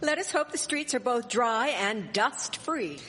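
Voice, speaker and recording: A woman playing a sharp-witted, proper 1870s townswoman with a wry manner in a live radio drama. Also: on the high side, expressive, a little slow. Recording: echoey hall, live stage recording.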